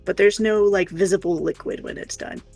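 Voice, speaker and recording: Creaky low-pitched woman, chatting casually. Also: fairly even intonation, fairly quick, a little mellow, soft background music. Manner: rambling, hesitant, thinking aloud. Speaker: co-host of an art livestream. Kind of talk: casual livestream chat.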